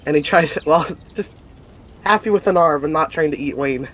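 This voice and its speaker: A man's voice: on the high side, normal speed, fairly bright tone. A man casually narrating the action in a tabletop roleplaying game. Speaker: tabletop RPG player.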